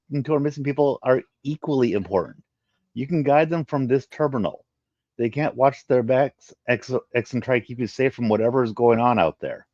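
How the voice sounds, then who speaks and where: Man voicing a firm alien woman scientist, raised pitch. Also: darkish tone, lightly acted. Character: female alien scientist, composed, firm, scolding authority. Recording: muffled, roomy home recording.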